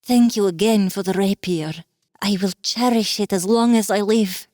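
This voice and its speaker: A woman's voice: mid-range voice, moderate pace, no echo, studio quality, natural voice. A woman voicing an earnest, admiring female warrior in a fantasy audiobook, speaking sincerely. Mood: grateful. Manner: sincere, warm-hearted. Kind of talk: dramatized fantasy audiobook acting.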